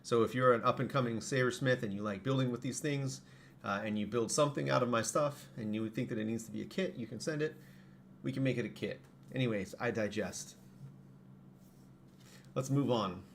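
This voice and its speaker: Hoarse man rambling on a stream. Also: mid-range voice, brisk, slight background noise. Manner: rambling, self-correcting. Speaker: streamer and saber parts maker. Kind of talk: casual live stream talk.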